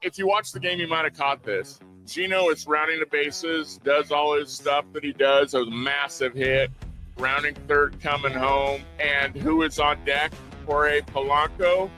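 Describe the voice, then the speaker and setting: Enthusiastic higher-pitched man over music. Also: fairly even intonation, unhurried, sharp tone, poor audio. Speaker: podcast commentator. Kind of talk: enthusiastic sports commentary monologue.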